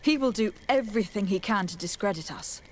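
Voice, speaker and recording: A woman's voice: medium pitch, brisk, slight background noise, lightly acted. An actress playing a composed, diplomatic noblewoman envoy in a fantasy video game. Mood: resolved. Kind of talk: scripted fantasy game dialogue. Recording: game music behind the voice. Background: game music.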